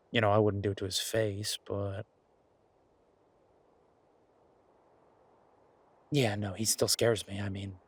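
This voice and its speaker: A man playing an earnest, playful boyfriend in a naturalistic one-sided audio roleplay, talking in a halting, wry, conversational way. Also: lowish voice, slightly rough. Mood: wry, amused. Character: earnest, playful werewolf boyfriend pitching a farm venture.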